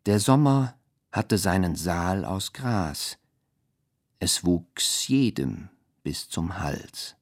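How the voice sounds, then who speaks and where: A man who recites dreamlike radio-drama poetry in a low voice. He is very unhurried and deliberate, setting words apart in fragments, and the sound is close and dry. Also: lively intonation, dry recording, heavily acted. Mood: measured, incantatory.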